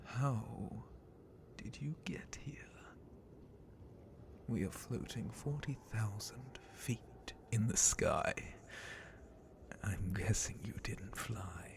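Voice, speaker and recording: A very slow, gravelly male dragon captain for an ASMR roleplay. He half-whispers with haughty contempt and sadistic menace, drawing out each phrase theatrically. The breath is close and rough. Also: lowish voice. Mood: menacing, probing.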